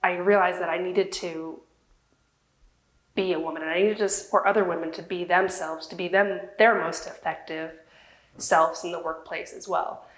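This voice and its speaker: A woman's voice: fairly low pitch, steady tone, normal speed, reverberant, low-quality recording. A woman who has worked in the building industry for twenty years, speaking candidly and reflectively in an interview. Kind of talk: interview to camera.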